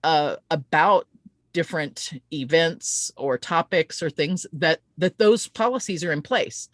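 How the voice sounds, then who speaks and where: A woman's voice: low-pitched, a little slow, dry recording. A woman hosting a conversational interview, talking in an emphatic way and given to tangents. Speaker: interview host.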